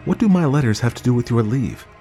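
Audiobook wife, played by a male narrator: defiant, indignant, pitched up high with swooping intonation. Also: animated, brisk, a little mellow, faint music behind, lightly acted. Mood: defensive, pleading. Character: defiant, exasperated, environmentally minded wife.

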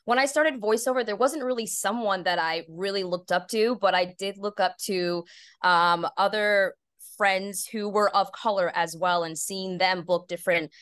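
A woman's voice: medium pitch, moderate pace, fairly bright tone, clear, resonant tone, no room sound, clean studio sound. A woman speaking as an interview guest, giving earnest, upbeat answers in a conversational way. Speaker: podcast guest, PA announcer and voice actor.

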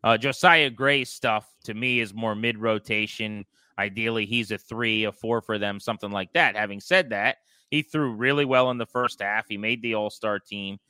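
Bright, crisp American male sports host. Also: on the low side, normal speed, no room sound. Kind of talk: conversational sports talk.

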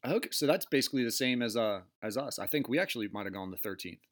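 A man's voice: medium pitch, lively intonation, fast. A man hosting a casual interview podcast from home, chatty and laughing often. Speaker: podcast host. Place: home in Delaware.

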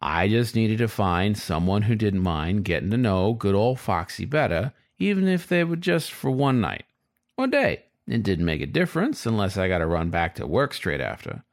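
A man's voice: on the low side, big pitch swings, normal speed. A man voicing a sly, frustrated male fox who narrates his story in a wry, confiding, colloquial way, as in an audiobook.

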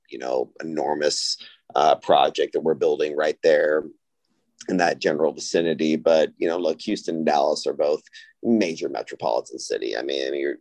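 A man's voice: a bass voice, a little mellow, dry recording. A man from Texas speaking as a podcast interview guest, in a conversational way with many hesitant asides. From Austin, Texas. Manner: hesitant phrasing, frequent "you know" asides. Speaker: interview guest, real estate company founder.

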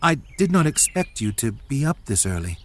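An actor playing a humble, devout young warrior in a dramatized Bible story, earnest, soft-spoken and deferential. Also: male voice, medium pitch, very animated, normal speed, slight background noise, close to an everyday voice. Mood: respectful.

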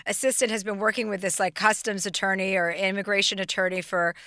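A woman hosting a casual interview, joking brashly and telling quick stories. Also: mid-range voice, steady tone, sharp tone, clean tone, no room sound, clean studio sound. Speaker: podcast host.

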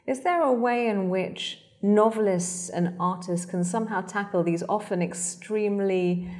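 A woman's voice: medium pitch, measured pace, a little mellow. A woman journalist interviewing a guest, speaking articulately and measuredly with occasional hesitation fillers. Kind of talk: interview questions and commentary.

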